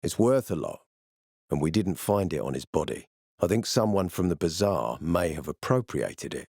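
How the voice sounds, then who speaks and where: A stern army commander from a post-apocalyptic video game. His voice is low and measured, with formal authority, captured close and dry. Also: lively intonation, gravelly, darkish tone, no room sound, heavily acted. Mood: grave. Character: stern ambitious male military commander in a zombie apocalypse. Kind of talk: video game dialogue acting.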